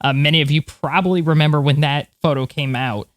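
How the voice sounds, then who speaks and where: A woman's voice: sits low, fairly even intonation, fairly quick, no room sound. Woman co-hosting a podcast, very dry sound. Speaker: podcast co-host. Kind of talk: conversational science news chat.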